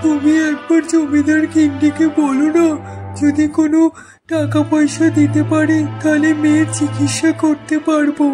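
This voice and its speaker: A woman's voice: sits high, level tone, normal speed, natural voice. A woman voicing a worried, helpless poor village father, anxious and resigned, in cartoon story dialogue. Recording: loud music under the voice.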